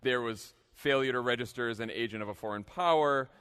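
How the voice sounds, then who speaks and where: An American man hosting a casual political panel, speaking in a wry, animated way. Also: medium pitch, a little fast, clear, resonant tone. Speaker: podcast host moderating a panel. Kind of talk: casual political panel talk before an audience.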